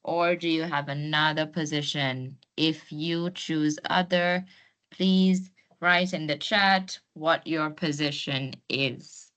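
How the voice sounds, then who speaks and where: Woman running an online workshop, lowish voice, takes it slow, keeps inviting people to join in. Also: steady tone, a bit bright. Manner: encouraging, inviting participation. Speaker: host and trainer of an online masterclass. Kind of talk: informal webinar presenting to participants. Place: online video call.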